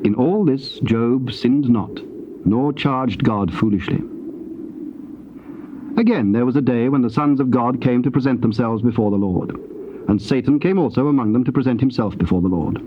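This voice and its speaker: A British man reads scripture as narrator. His delivery is measured and solemn, in a mid-range voice, on dull tape with clear hiss. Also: medium pitch. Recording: dull hissy cassette tape.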